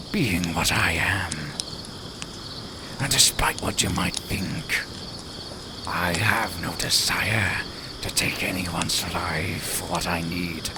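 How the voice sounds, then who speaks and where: A man playing a cunning, proud lone werewolf in an audio roleplay, speaking formally and deliberately with a menacing edge. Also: sits low, heavy background noise. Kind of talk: slow theatrical audio roleplay.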